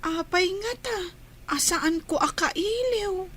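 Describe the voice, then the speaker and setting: Woman acting a slow, high-voiced young girl. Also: animated, fairly bright tone, exaggerated voice. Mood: puzzled. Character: young village girl, older sibling of the baby. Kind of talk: Ilocano radio drama acting.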